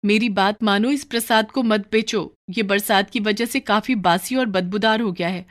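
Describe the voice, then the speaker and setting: A woman's voice: medium pitch, steady tone, moderate pace, pitched above the performer's own voice, lightly acted. A woman voicing a pious, worried wife in a cartoon moral story, earnest, moralizing and pleading.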